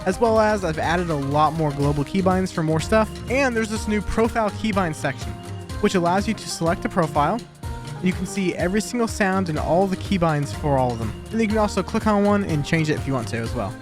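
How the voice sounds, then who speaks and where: A young man talks at a brisk clip in a high-pitched voice. He sounds informal and matter-of-fact, like a developer presenting his own software. Music plays clearly behind him. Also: lively intonation. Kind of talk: casual scripted video walkthrough. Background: background music.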